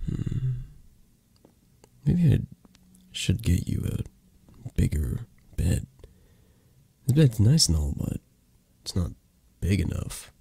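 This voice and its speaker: Man acting a dark, husky mafia boss lover. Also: wildly swooping pitch, no room sound, heavily acted. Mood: tender, flirtatious. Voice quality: breathy, husky, low.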